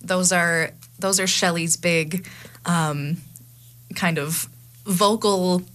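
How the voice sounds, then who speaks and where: A woman's voice: fairly low pitch, steady tone, fairly bright tone. A young woman co-hosting a radio show in a studio, chatting and announcing in an upbeat way. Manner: chatty, upbeat, quick asides with laughs. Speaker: radio co-host. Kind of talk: casual radio chat and announcing. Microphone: studio microphone.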